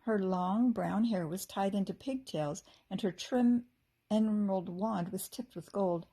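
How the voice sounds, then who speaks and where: An American woman, a teacher, reads a children's book aloud in an animated, expressive way, acting out the dialogue. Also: mid-range voice, unhurried, breathy edge. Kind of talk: expressive storybook narration.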